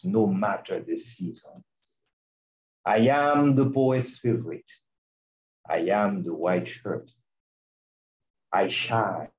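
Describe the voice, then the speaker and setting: Haitian guy reading poems slow n soft, low mellow voice, muffled echoey room on a video call. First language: Haitian Creole. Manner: slow, measured, soft-spoken. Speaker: poet reading. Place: large room with seated audience.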